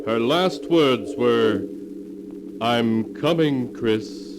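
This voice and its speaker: Male announcer for a 1940s mystery anthology; grave, drawn-out, theatrical delivery over clearly hissy vintage audio. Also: medium pitch. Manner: solemn, theatrical, measured. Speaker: announcer and narrator. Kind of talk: 1940s radio horror narration, scripted. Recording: noisy old 1940s radio recording.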